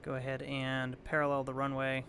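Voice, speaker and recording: Hoarse, gravelly man talking through a flight. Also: mid-range voice, normal speed, a little hiss or hum. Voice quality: raspy. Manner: relaxed, thinking aloud while flying. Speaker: flight sim streamer. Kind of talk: casual gameplay commentary.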